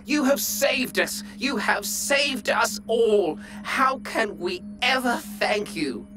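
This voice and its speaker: A woman's voice: a little high, animated, slow pace. A woman playing an effusive alien resort manager in a sci-fi comedy audio drama, gushing and melodramatic. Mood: grateful. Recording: music behind.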